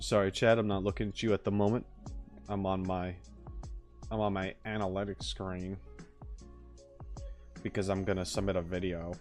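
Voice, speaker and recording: A man's voice: low voice, level tone, moderate pace, pauses often, darkish tone, soft background music. A man chatting casually as a livestreamer, rambling and slangy as he talks to his viewers. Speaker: streamer hosting a live chat.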